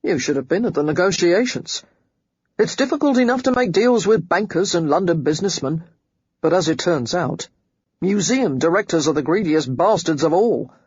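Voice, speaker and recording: A woman reading the part of a brash, self-made rich man who speaks bluntly and forcefully in short, clipped lines. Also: on the low side, lively intonation, moderate pace, breathy edge, no echo, dull audio.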